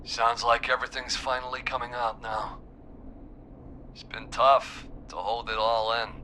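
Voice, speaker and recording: An actor playing a wounded, guilt-ridden small-town man in a video game, weary and halting as he confesses over a call. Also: medium pitch, level tone, bright, forceful tone, slight background noise. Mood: concerned, relieved. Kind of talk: dramatic video game voice acting.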